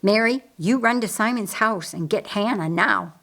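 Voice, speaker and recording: A woman's voice: mid-range voice, moderate pace, creaky, a bit bright. A woman voicing a practical, caring sister in a storybook, speaking in an emphatic, commanding way. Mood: worried, firm.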